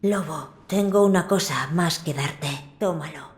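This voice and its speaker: Woman voicing composed, low-toned noble boy lord. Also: natural voice. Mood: calm, authoritative. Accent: Castilian Spanish. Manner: formal, calm, measured. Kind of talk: dramatic video game dub. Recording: music under the voice.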